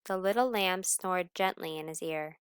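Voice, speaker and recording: A woman narrating a children's picture book aloud in an expressive storyteller's style. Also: lowish voice, normal speed, clear, resonant tone, dry recording, clean studio sound.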